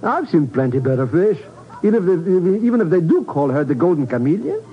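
An actor playing a broke, greedy comic sidekick in a 1950s radio drama, stammering and wheedling. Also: male voice, fairly high pitch, very animated, fairly quick. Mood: indignant. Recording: muffled 1950s radio recording with music.

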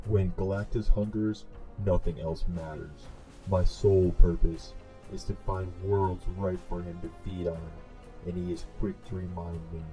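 A solemn male cosmic herald, acted by a man, speaks in a low, grave voice. The delivery is deliberate and theatrical, weighed down by torment, in a comic-dub monologue with music underneath. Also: steady tone, mellow, poor audio. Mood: solemn, burdened. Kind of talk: comic dub dramatic narration.

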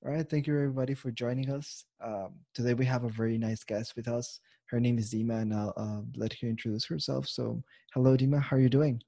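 A man hosting a podcast and interviewing a guest in a relaxed way, with many fillers and "right?"s. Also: medium pitch, fast, vocal fry, hardly any pauses, no echo. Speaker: podcast host.

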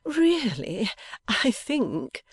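A reader's airy, coquettish society wife, swooping high. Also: wildly swooping pitch, a little mellow, breathy edge, no room sound, studio quality, exaggerated voice. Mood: gaily teasing. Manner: coquettish, wheedling, drawn-out delivery.

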